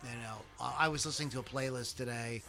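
A man's voice: mid-range voice, fairly even intonation, fast talker, gravelly, sharp tone. A man hosting a casual podcast chat, rambling with lots of fillers and restarts and the odd swear word. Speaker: podcast host. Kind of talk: casual chat, reading lyrics aloud.

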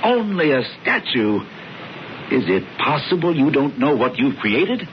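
An actor playing a calm, knowing older brother who insistently tempts and persuades, heard on a dull, lo-fi radio broadcast. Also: male voice, fairly high pitch, a little slow, a little hiss or hum. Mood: persuasive. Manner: smooth, insistent, theatrical. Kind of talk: 1970s radio drama acting.

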